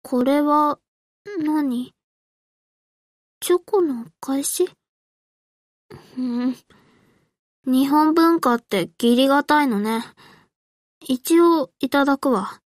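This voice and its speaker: An elegant, cool and slightly haughty anime game lady. The delivery is very slow, the pitch high, the sound dry. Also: no room sound. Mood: aloof, curious. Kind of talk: Japanese anime game character voice lines.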